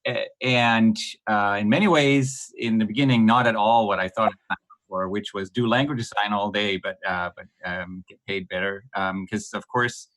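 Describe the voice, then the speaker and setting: A man's voice: on the low side, fairly even intonation, normal speed, fairly bright tone, poor audio. A middle-aged man from Denmark with a Danish accent, a podcast guest who talks hesitantly, with many ums, restarts and light laughs. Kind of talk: podcast interview.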